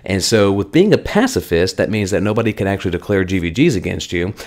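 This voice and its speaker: A man hosting a weekly game news update in a chatty, conversational way, his voice slightly hoarse. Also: sits low, expressive, fast, darkish tone. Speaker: gaming channel host.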